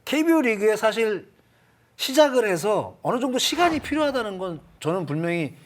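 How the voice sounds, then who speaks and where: Casting: male sports analyst, high voice with animated intonation, explanatory panel talk. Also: a little fast, slight background noise. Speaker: baseball analyst.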